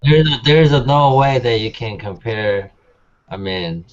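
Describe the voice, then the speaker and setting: A man's voice: mid-range voice, expressive, a little slow, lo-fi sound. A man chatting casually as a panelist, blunt and slangy in how he talks. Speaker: panelist on a music talk show. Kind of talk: casual chat.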